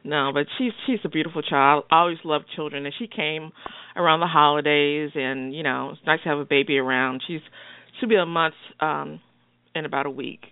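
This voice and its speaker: A woman co-hosting a call-in radio show, chatty and expressive, often laughing as she talks. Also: deep voice, brisk, clear, resonant tone, no echo. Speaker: radio co-host. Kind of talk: casual radio banter. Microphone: phone line. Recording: phone-line call-in radio, dry.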